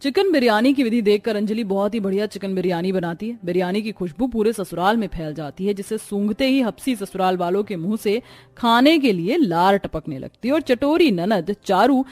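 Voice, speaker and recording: A woman's voice: medium pitch, expressive, moderate pace, a little mellow, dry recording. A woman narrator reading a scripted cartoon story.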